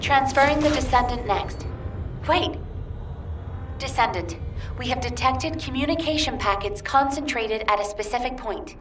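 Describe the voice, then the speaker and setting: A woman's voice: fairly high pitch, expressive, moderate pace, background noise, natural voice. An actress playing a composed, polite female mission operator in a sci-fi video game, speaking formally and precisely. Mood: businesslike. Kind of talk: scripted video game dialogue. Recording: distant, echoey, with loud music.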